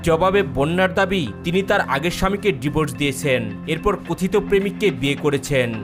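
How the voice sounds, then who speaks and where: A man's voice: fairly high pitch, fast. A man reading scripted news-style narration with music behind him. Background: clear music.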